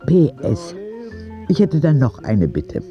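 A man's voice: on the high side, huge pitch swings, takes it slowly, dark tone, muffled recording, soft background music, full character voice. A man narrating an audio drama as a candid, vulnerable ten-year-old sick boy who confides in a naive, plain way. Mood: confiding, earnest.

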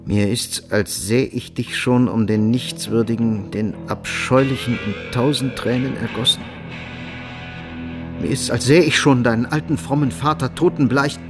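An actor playing a scheming younger son in a German radio drama, theatrical, insinuating and falsely devout. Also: male voice, mid-range voice, fairly even intonation, measured pace, over music, lightly acted. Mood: ominous, insinuating. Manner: theatrical, insinuating, savoring sarcasm.

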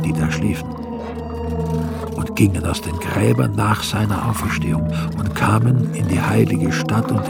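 A low, gravelly male evangelist, narrates scripture solemnly. The storytelling is grave and measured, set in a radio play over loud music. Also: heavily acted.

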